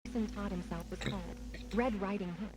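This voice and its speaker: A woman's voice: medium pitch, a little fast, close to an everyday voice. A woman playing a newspaper reporter, reading a grim horror report in a formal, matter-of-fact way. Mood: grave, factual. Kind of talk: scripted news narration. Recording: music playing under the voice.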